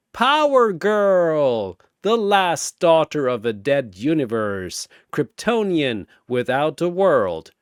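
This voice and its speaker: A man's voice: medium pitch, no echo, studio quality. A man delivering dramatic scripted battle narration like an arena announcer, theatrical and grandiose.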